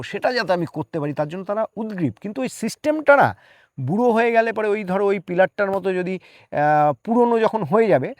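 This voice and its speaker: A man's voice: sits high, dry recording, studio quality. A man speaking earnestly as an interview guest, explaining his opinions emphatically with rhetorical questions.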